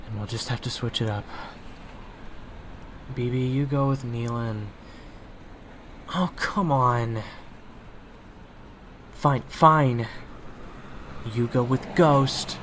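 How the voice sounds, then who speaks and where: Young man, mid-pitched, slow wry drawl. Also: fairly bright tone, a little hiss or hum. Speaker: audio roleplay performer. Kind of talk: scripted audio roleplay.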